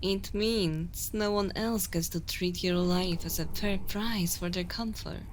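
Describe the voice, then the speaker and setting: A woman playing a dominant, possessive yet motherly evil queen in an ASMR roleplay, speaking softly and intimately close to the microphone, often in a breathy whisper. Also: lowish voice, steady tone, a little hiss or hum, poor audio. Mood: possessive, protective. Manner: slow, deliberate, commanding, intimate.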